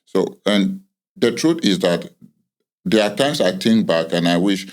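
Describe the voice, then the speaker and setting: A very low male voice, hosting a football podcast. He speaks in a measured way, building long reflective questions. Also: lively intonation. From Nigeria. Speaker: podcast host.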